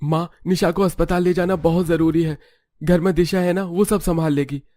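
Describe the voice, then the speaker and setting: A man's voice: fairly high pitch, steady tone, normal speed, a little mellow, no echo, studio quality, pitched above the performer's own voice, lightly acted. A man voicing a worried adult son pleading with his mother in a cartoon story. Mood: urgent. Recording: background music.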